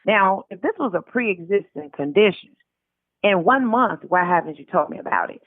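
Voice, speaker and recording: An American woman comes through a narrow, phone-like recording with a dry sound. Her voice sits at a middle pitch as she tells stories in a casual, colloquial way, re-enacting bits of dialogue as an interview guest. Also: normal speed, no echo. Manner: animated retelling with quoted dialogue, colloquial. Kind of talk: casual conversational storytelling. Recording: tinny narrow phone line.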